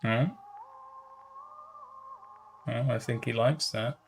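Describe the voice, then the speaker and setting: A man's voice: mid-range voice, steady tone, slow, slight background noise. A man casually chatting and reacting as a livestreamer, musing aloud and often repeating his phrases. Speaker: streamer reacting to horror videos.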